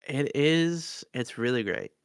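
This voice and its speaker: A man's voice: medium pitch, lively intonation, takes it slowly, clear, resonant tone, no echo, clean studio sound. A man co-hosting a podcast, chatting casually as he discusses a story. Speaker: podcast co-host. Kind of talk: casual chat.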